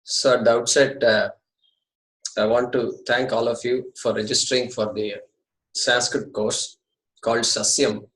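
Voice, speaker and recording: Brief: Indian man in his fifties, courteous teacher on a video call, mid pitch, roomy echo. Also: level tone, measured pace, fairly bright tone. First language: Tamil. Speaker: Sanskrit teacher. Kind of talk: online class orientation talk. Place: his apartment. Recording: echoey room over video call.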